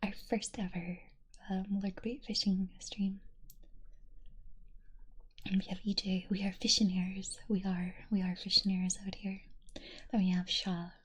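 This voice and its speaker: A young woman streamer whispering softly and breathily as she reads chat quotes, giggling often between them. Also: mid-range voice, level tone, a little slow, pauses often, slightly rough.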